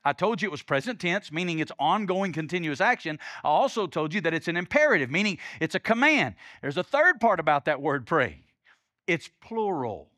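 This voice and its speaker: A Southern pastor preaches, his voice pitched high for a man and bright in tone. He moves between emphatic peaks and quiet, conversational asides. The sound is dry and close. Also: very animated, a little fast, dry recording. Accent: Southern US (Alabama). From Alabama, US. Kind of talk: sermon.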